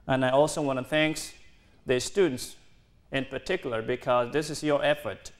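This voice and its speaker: A man in his twenties from South Sudan, a native Dinka speaker, giving an earnest university talk in halting, non-native English with restarts. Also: mid-range voice, steady tone. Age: 20s. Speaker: guest speaker. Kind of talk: university lecture.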